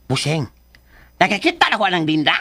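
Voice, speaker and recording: A wary sidekick in a Philippine radio drama, with a high, sharp voice that bounces around. He teases and protests hesitantly in a Cebuano Filipino accent. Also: very animated, a little fast, creaky, dry recording, heavily acted. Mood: teasing. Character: wary, teasing male sidekick of an older boss.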